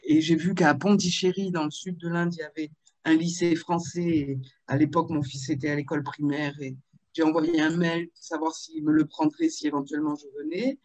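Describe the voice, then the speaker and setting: A woman in her fifties from Marseille, telling her life story in a video-call interview, with frequent pauses and fillers. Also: low voice, a little mellow, dry recording, poor audio. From Marseille, France. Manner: hesitant, with many fillers and restarts. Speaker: author and interview guest.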